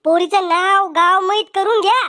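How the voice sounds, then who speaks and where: A man voicing a cheeky, quarrelsome village cartoon character with a Varhadi Marathi accent and a pitched-up voice. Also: fairly even intonation, normal speed, sharp tone, no echo, clean studio sound. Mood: cheeky, sarcastic. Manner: brash, sarcastic, bickering.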